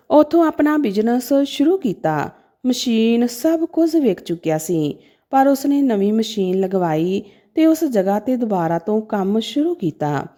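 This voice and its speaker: A woman's voice: a little high, mellow. A woman narrating a scripted story in a dramatic, emotive manner.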